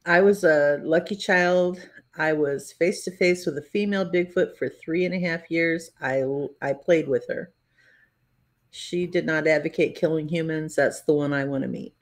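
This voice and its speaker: A woman's voice: low-pitched, normal speed. A middle-aged woman co-hosting a casual livestream, reading viewer comments aloud with wry asides. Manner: reads chat comments aloud, wry and laughing. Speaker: podcast co-host. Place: home room.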